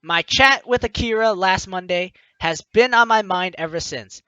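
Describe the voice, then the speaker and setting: Male gaming host: bright high voice, jokey commentary and read-aloud narration, soft music behind. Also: moderate pace, clean tone, no room sound, lo-fi sound. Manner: jokey asides in reaction to the game. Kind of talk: let's play commentary mixed with reading game narration aloud.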